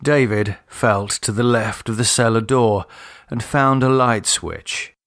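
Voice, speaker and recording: A man's voice: medium pitch, steady tone, a little slow, a bit bright. A man reading a novel as scripted narration. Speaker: author and audiobook narrator.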